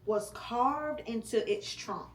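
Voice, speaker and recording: A mother reads a storybook aloud at home, taking her time and shaping each phrase with slow, deliberate pauses. Her voice sits a little high for a woman. The room is echoey, with a faint hum underneath. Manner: slow, expressive reading with pauses. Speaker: mother reading to her child.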